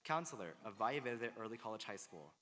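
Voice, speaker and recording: A man's voice: lowish voice, very animated, fast, a crowd in the background. A man making formal announcements and introductions as the announcer at a ceremony. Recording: noisy, echoing hall PA.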